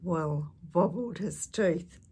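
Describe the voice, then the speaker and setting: An older woman with a husky voice reading a picture book expressively to young listeners, adding chatty asides and animated tag questions. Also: fairly low pitch, slow. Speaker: children's storytime reader. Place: small indoor space with curtain backdrop.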